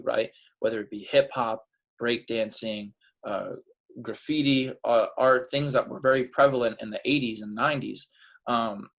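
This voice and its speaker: Gravelly-voiced man interviewer. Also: medium pitch, rough recording. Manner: rambling, many fillers and restarts. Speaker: interviewer, oral history project. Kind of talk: oral history interview.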